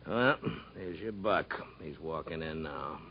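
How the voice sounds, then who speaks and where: Low-voiced, laconic prison officer. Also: male voice, a little slow, gravelly, a little hiss or hum, full character voice. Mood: casual, terse. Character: laconic male prison officer, subordinate to the captain. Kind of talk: 1950s radio drama acting. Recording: muffled 1950s radio broadcast.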